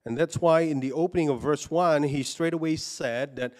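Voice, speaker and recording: Hesitant earnest preacher from Indonesia. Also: male voice, fairly high pitch, normal speed. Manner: hesitant, many fillers and restarts, personal and earnest. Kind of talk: sermon.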